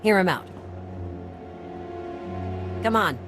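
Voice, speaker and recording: A woman's voice: mid-range voice, expressive, extremely slow, over music. A woman playing a businesslike, sardonic smuggler in a video game, speaking frankly and dryly. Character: businesslike, wry female military alliance supply smuggler. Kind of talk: video game cutscene acting.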